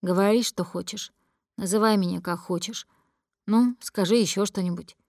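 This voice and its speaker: A woman reading a calm, quiet young man. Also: mid-range voice, moderate pace, dry recording, studio quality, lightly acted. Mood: quiet resigned. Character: gentle patient young man, her devoted friend.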